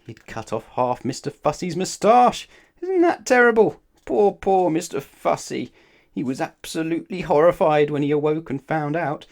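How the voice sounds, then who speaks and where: Very animated man reading a children's story. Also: on the high side, moderate pace, vocal fry. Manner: expressive, dramatic emphasis. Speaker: storybook reader. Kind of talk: children's story read-aloud.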